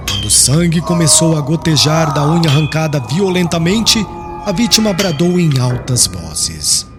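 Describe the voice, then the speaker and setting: Male audiobook narrator, fairly high voice, expressive swings, formal and solemn storytelling with music underneath. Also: moderate pace. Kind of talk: dramatic scripted narration of religious historical novel. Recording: music clearly behind the voice.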